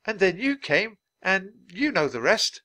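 A man's voice: high voice, big pitch swings, measured pace, bright, forceful tone, pitched above the performer's own voice, full character voice. A man voicing a bold, cheerful little girl in a storybook, speaking in a frank and eager way. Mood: matter-of-fact.

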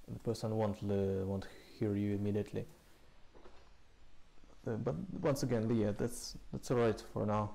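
A man gives a casual screencast tutorial in a low, mellow voice. His intonation stays very flat, and he moves through the explanation haltingly, a short phrase at a time. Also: creaky. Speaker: programming tutorial presenter. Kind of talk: casual screencast code walkthrough. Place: indoors, voice-over recorded with screen capture.

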